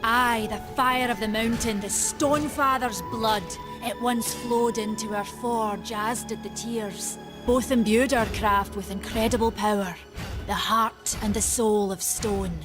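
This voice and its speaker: A woman's voice: a little high, fairly even intonation, unhurried, natural voice. Woman voicing proud forge keeper, stubborn, archaic plain speech, game music.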